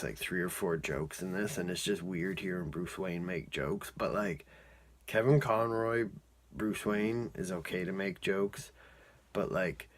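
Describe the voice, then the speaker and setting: A man casually reviewing and recapping a cartoon episode in a rambling, informal, amused way. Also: low-pitched.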